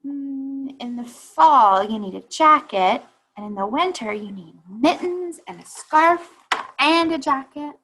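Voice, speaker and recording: A woman reading a children's picture book aloud like a teacher, pausing to think aloud and explain. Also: high-pitched, lively intonation, a bit bright, slight background noise, rough recording.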